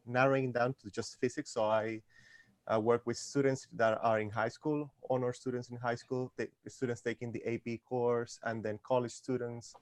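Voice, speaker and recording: Man, halting guest in a dry room. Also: medium pitch, darkish tone, no echo. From Venezuela. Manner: careful, slightly halting, with "uh" fillers. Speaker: physics tutor, guest. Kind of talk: casual interview answers.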